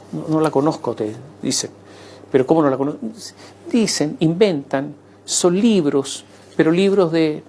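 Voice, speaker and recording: A man answering in an interview, conversational and animated, acting out quoted voices as he recounts events. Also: a little high.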